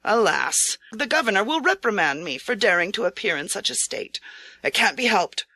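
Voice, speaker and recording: A woman's voice: medium pitch, big pitch swings, moderate pace, sharp tone, dry recording, heavily acted. Woman voicing a male samurai knight, proud yet humble, earnest, formal storybook speech, close dry sound. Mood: resigned.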